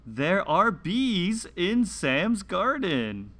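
A man hosting a children's read-along lesson, reading a script slowly and deliberately with careful enunciation and sing-song emphasis. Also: high-pitched, very animated, bright, forceful tone.

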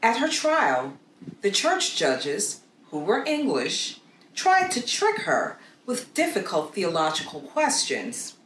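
A middle-aged woman reading a children's story aloud with expressive, deliberate dramatic emphasis, recorded in a small room. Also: mid-range voice. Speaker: storybook read-aloud narrator. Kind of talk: scripted narration, children's read-aloud story. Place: small plain room, indoors. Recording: roomy echo with clear background noise.

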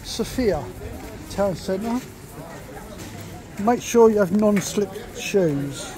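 A man's voice: high voice, expressive, extremely slow. A man giving rambling, casual commentary as he walks along outdoors as a travel vlogger. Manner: rambling, observational, fragmented remarks. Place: outdoors on a rainy pedestrian shopping street. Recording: outdoor street with passers-by chatter.

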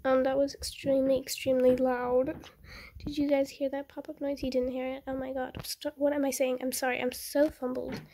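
A young woman with a high voice chats her way through a game, rambling and easily excited. Her tone is soft and mellow, and a cold leaves her nose a little stuffy. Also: a little fast, slight background noise. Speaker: gaming channel host. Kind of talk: casual game commentary.

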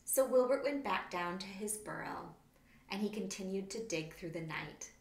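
A woman narrates a children's storybook with expressive read-aloud delivery. Her voice is mid-pitched, and the small room adds a clear echo, as if recorded a few steps from the camera. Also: moderate pace. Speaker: children's author reading her book. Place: small plain room indoors. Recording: roomy, echoing indoor room.

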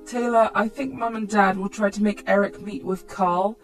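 A woman's voice: medium pitch, fairly even intonation, normal speed, faint music behind, lightly acted. A woman narrator voicing a concerned adult sibling in a story, earnest and careful.

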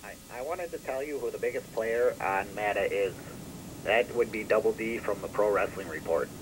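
A man's voice: fairly low pitch, normal speed. An American man phoning in to a show, chatting in a casual, joking prank-call style. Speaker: phone-in caller. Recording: noisy phone call to studio.

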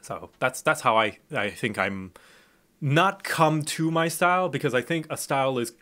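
A man's voice: medium pitch, lively intonation, normal speed, fairly bright tone. A man who grew up in Thailand, chatting as a guest on a podcast, thoughtful and rambling with restarts. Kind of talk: casual chat.

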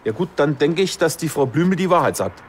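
A man's voice: a little high, animated, a little fast, slight background noise, lightly acted. An actor playing a naive, eager male police officer, the sidekick in a TV crime comedy. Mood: agreeable.

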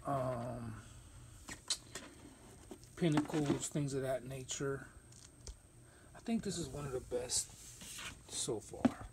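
A man's voice: mid-range voice, background noise. A man hosting a casual sneaker unboxing vlog, talking in a loose, rambling way with plenty of slang, recorded on a phone camera in a room stacked with shoe boxes. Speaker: sneaker reviewer.

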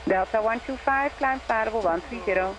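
A man's voice: high voice, brisk. Brief: male controller, clipped radio phraseology, heard through a noisy cockpit radio feed. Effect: radio transmission. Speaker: air traffic controller. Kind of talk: radio instructions to aircraft.

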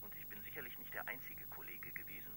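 An actor playing a formal, aggrieved pharmacist in a radio crime drama, speaking deliberately. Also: male voice, sits low, background noise. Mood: indignant. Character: indignant, formal male pharmacist with a doctorate.

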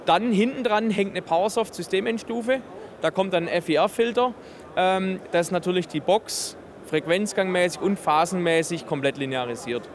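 A man's voice: high-pitched, moderate pace. A man in his thirties giving an enthusiastic, technical product explanation in an interview. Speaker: exhibitor presenting a loudspeaker system. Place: busy trade fair booth in a large hall. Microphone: handheld interview microphone held by interviewer.

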